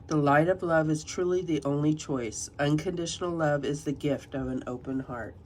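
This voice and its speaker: A woman's voice: sits low, fairly even intonation, slight background noise, rough recording. A woman reading tarot cards and reading passages aloud from a guidebook, slightly nasal from a cold. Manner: soft, unhurried, reads passages aloud. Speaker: tarot reader.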